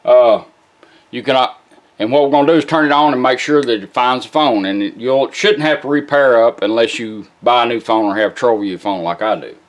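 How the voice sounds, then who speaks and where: A man's voice: mid-range voice, fairly quick. A plainspoken, folksy man giving a casual hands-on product walkthrough. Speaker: presenter demonstrating restored vintage car radios. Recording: music playing behind the voice. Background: music from the demonstrated radio.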